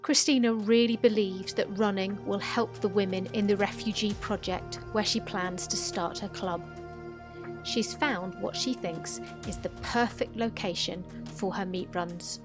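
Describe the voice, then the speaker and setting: A woman giving scripted, calm narration for a documentary. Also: medium pitch, a little slow, music in the background.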